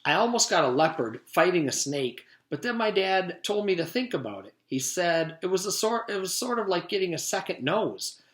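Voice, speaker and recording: A man's voice: sits high, very animated, normal speed, few pauses, voice pushed up. A man voicing an indecisive, earnest schoolboy who gripes and frets, in a storybook reading. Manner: griping, muttering, repeating himself. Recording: roomy echo.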